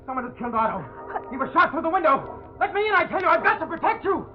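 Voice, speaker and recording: An actor playing an urgent, protective lover in a 1940s radio thriller, emphatic and melodramatic. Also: male voice, very high voice, sing-song, fast talker, faint music behind. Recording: muffled, very noisy 1940s radio recording.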